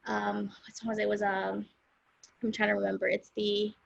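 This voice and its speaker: A woman's voice: medium pitch, monotone, normal speed, vocal fry, no echo, muffled recording. A young woman from Los Angeles, a singer-songwriter, talking casually as an interview guest and rambling with many fillers. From South Central Los Angeles, USA.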